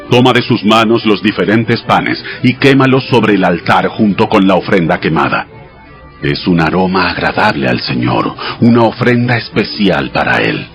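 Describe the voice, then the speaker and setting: An actor playing a solemn, commanding God who dictates ritual law in a dramatized audio Bible, in measured instructions. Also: male voice, low-pitched, a bit bright, full character voice.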